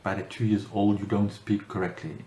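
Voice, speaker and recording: A man's voice: fairly low pitch, little pitch movement, brisk, dark tone. A middle-aged man from France answering interview questions, talking hesitantly with many fillers and restarts. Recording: roomy echo, faint background noise.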